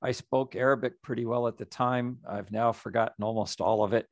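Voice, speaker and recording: A middle-aged man, a nonprofit executive director, giving a reflective, measured story-led presentation over a video call. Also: mid-range voice, steady tone, no room sound, clean studio sound. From United States.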